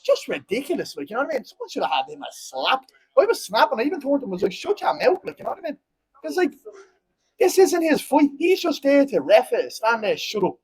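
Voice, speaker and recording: A man's voice: very high-pitched, wildly swooping pitch, fast, low-quality recording. A man in his twenties with a Dublin Irish accent, talking bluntly and heatedly at a post-fight press conference, rambling and often asking "you know what I mean". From Ireland. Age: 20s.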